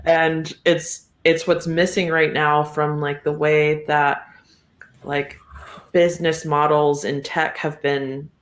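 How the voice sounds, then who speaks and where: A woman chatting casually as a podcast guest, wry and halting, recorded with a roomy echo. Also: deep voice, level tone. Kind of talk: casual chat. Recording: roomy, echoey sound.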